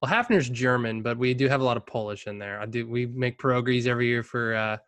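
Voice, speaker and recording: Young male podcast host, laid-back, filler-heavy, mid pitch, very dry close recording. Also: fast talker, smooth, no echo. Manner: relaxed, many fillers, trails off quietly. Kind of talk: casual interview chat.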